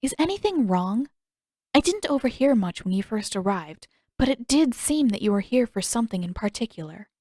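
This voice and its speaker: A woman voicing a gracious, sweet young princess in a fantasy audiobook, speaking politely and with gentle formality. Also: fairly high pitch, very animated, moderate pace, no room sound, studio quality. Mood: gentle, sincere.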